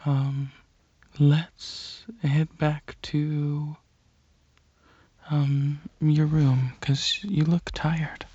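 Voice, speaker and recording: A soft-spoken ASMR performer playing a gentle, shy, caring alien dragon, talking hesitantly in a breathy half-whisper. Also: mid-range voice, flat delivery, drawn-out words, muffled recording.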